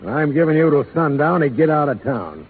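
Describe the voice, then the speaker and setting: An actor playing a sneering, bullying American cattle rustler in a 1930s radio western, taunting and menacing in a folksy way. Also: male voice, a little high, lively intonation, normal speed, husky, long reverb tail. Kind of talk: 1930s radio drama acting. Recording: tinny, noisy old radio recording.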